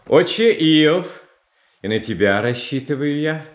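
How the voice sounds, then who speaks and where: Kind-hearted, pleading tsar in radio drama. Lightish high voice, very animated intonation, halting and anxious. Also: male voice. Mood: gentle entreaty. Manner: halting, earnest, repeats himself. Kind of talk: Russian stage tragedy as radio play.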